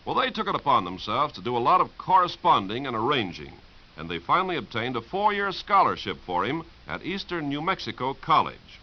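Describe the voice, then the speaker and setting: A man's voice: medium pitch, sing-song, a little fast. A man reading scripted 1950s radio narration as an announcer, in an earnest, formal manner. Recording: dull old radio recording.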